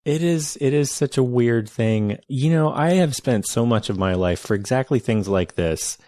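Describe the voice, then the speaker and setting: A man hosting a podcast interview, speaking in a reflective, measured way with some hesitations. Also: medium pitch, animated, dark tone, no echo, clean studio sound. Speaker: podcast host. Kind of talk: casual conversational interview.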